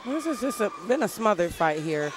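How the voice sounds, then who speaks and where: High male voice calling a boxing bout. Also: very animated, a little fast, noisy background. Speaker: boxing commentator. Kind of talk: live sports commentary. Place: ringside at an indoor boxing arena. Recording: loud arena crowd behind voice.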